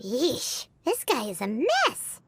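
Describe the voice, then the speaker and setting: A woman's voice: huge pitch swings, slow pace, faint music behind, full character voice. A woman voicing a tiny, childlike, cheeky fairy companion in a video game, perky and blunt. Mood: exasperated. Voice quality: squeaky, childlike.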